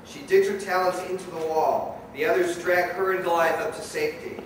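A man's voice: high voice, flat delivery, fairly quick. A man reading scripted narration for a radio play staged live. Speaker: narrator and director. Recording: very echoey hall, far mic, noisy.

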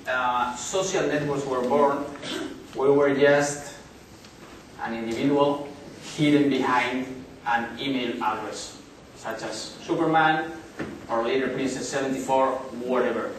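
Spanish-accented male presenter, thirties, halting delivery; distant, very echoey hall recording. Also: medium pitch, background noise. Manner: halting, many fillers and restarts. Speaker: conference speaker. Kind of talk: business presentation. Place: large hotel conference hall. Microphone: far camera in the audience.

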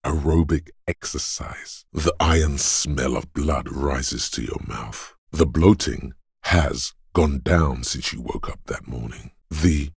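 An actor voicing a stern, gruff, goading inner voice of bodily endurance in a video game, with a gravelly, husky bass and a weighty, deliberate delivery. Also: male voice, dry recording, clean studio sound, exaggerated voice. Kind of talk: dramatic video game narration.

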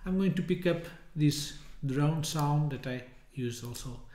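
Man, thirties, slightly raised pitch, informal screencast walkthrough, hesitant phrasing, noticeably roomy recording. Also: unhurried, mellow. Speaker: software tutorial presenter. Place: indoors, small room with window blinds. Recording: roomy, echoey indoor sound.

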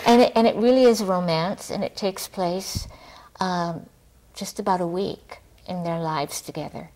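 A middle-aged woman chatting casually as an interview guest, talking in a halting way and circling back on her thoughts. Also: on the low side, darkish tone, poor audio. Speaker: theater director, talk show guest. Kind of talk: casual studio interview chat. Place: TV studio, seated at a table.

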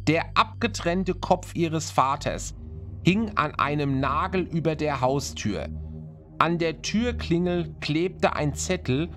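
Male narrator for scary tales, slightly higher voice, unhurried dramatic delivery, prominent music underneath. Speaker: horror story narrator. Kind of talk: scripted horror narration in German.

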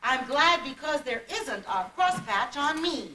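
A giddy living patchwork doll acted by a woman, very high and wildly varied in pitch. She is playful and nonsensical, a puppet-show figure heard from far off on an echoey, noisy recording. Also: animated, measured pace, pushed and bright, exaggerated voice. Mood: gleeful. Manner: playful, exclamatory. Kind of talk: children's puppet play acting. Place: puppet show stage in a convention room.

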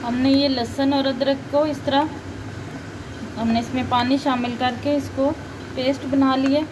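A woman, calmly explaining each cooking step as a recipe voice-over. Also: high voice, measured pace, noisy background. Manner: calm, instructive, points things out to viewer. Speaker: cooking channel host. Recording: loud frying sizzle behind voice.

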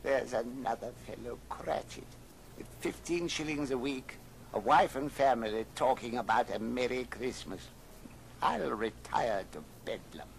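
An actor playing a scornful, cold-hearted old miser, curt and grumbling, in old radio drama style. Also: male voice, mid-range voice, unhurried, gravelly. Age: older. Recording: noisy old record transfer.

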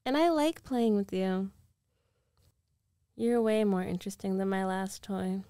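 Woman performing possessive rich ex-girlfriend, ASMR roleplay, self-assured, coaxing, condescending, mid-pitched, dry close-mic sound. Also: a little slow, dark tone, clear, resonant tone, no room sound, close to an everyday voice. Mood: possessive, insistent. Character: rich, domineering, possessive young ex-girlfriend coaxing her lover back. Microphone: close microphone, dry.

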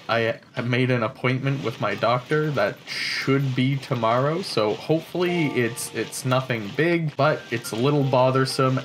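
A man's voice: medium pitch, a bit bright, a little hiss or hum. A young man giving casual, rambling gameplay commentary as the host of a gaming video. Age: young adult. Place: indoor room, in front of green screen.

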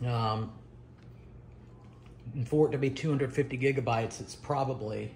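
A middle-aged man giving a casual, unscripted show-and-tell, halting and pausing mid-sentence. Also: mid-range voice, a little mellow, slight background noise. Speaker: retro computer vlogger. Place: dining room at home, indoors.

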